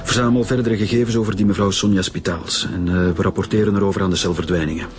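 A man playing a brisk, commanding police superior with a curt, authoritative manner and a Flemish accent in a radio drama. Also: sits low, steady tone, soft background music, close to an everyday voice. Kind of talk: naturalistic radio drama acting.

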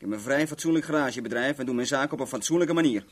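An actor playing a cocky, talkative young garage owner in a Dutch radio play, rambling and self-assured. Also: male voice, mid-range voice, very fast, close to an everyday voice. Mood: frank, offhand.

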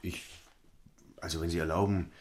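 A man's voice: low-pitched, steady tone, normal speed, a little mellow, close to an everyday voice. A man playing a genial, conciliatory, boyishly boastful husband in a stage comedy, affable and eager to please. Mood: tentative. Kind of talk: stage comedy dialogue in German audio drama.

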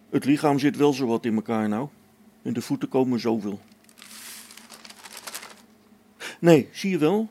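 A man's voice: mid-range voice, mellow. A man playing a practical, down-to-earth space-station technician in a radio play, brusque and colloquial. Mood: matter-of-fact. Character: practical, matter-of-fact male space-station technician, mildly irritable. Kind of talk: Dutch radio play acting.

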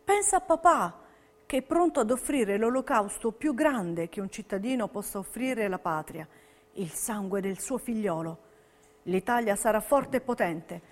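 A woman's voice: mid-range voice, animated, moderate pace, natural voice. An actress playing an earnest, patriotic young Italian woman in a theatrical wartime letter reading. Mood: fervent, solemn. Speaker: stage actress narrating historical testimonies. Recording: long hall reverb.

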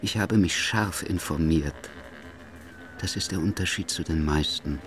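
An actor playing a brooding, paranoid man in a theatrical monologue, speaking with halting, conspiratorial intensity about lies all around. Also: low voice, a bit bright.

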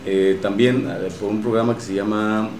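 A man with a Mexican accent talking casually as an interview guest about his radio work, often halting to search for words. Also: lowish voice, fairly even intonation, a little mellow. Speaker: radio drama producer and guest. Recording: roomy echo with faint noise.

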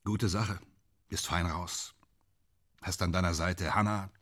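A man's voice: sits low, steady tone, takes it slowly, fairly bright tone. A man in a German radio play playing a sardonic, brooding film actor who drinks hard and talks bitterly and theatrically. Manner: sardonic, brooding, theatrical, swinging from muttering to outbursts.

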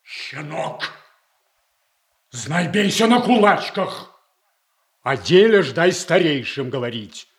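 Imperious old nobleman, high and very slow. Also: a bit bright, heavily acted. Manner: commanding, emphatic. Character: imperious elder nobleman, stern and indignant. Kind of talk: declamatory classical tragedy acting.

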